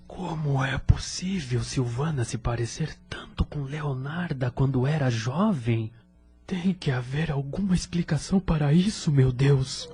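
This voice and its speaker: An actor with a Brazilian accent playing a courteous, lonely, grieving widower in an audio drama, speaking in a formal, halting way. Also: male voice, mid-range voice, dark tone, lightly acted. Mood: troubled, musing. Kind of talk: Portuguese-language audio drama acting.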